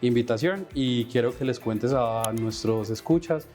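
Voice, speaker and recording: A man hosting a podcast, earnest and pausing often while asking questions. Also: medium pitch, a little mellow, soft background music. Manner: earnest, many fillers and restarts when asking questions. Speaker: podcast host.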